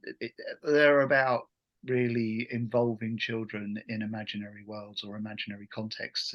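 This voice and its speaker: A middle-aged man hosting an informal online workshop on a video call, phrasing things haltingly. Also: lowish voice, fairly bright tone, poor audio. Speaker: workshop host, education trainer.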